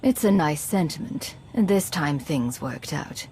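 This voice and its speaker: Cool, low-toned woman commander. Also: lively intonation, darkish tone, a little hiss or hum. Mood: cool, resolute. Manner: measured, matter-of-fact. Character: guarded, pragmatic female agent and commander, self-reliant. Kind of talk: video game voice acting.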